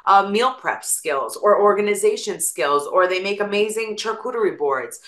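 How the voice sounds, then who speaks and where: A woman's voice: mid-range voice, animated, moderate pace, a bit bright, roomy sound. A woman with an East Coast American accent, a guest on an interview show, talking casually and telling stories with lots of examples. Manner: chatty, storytelling with examples. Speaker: guest, attorney and real estate broker.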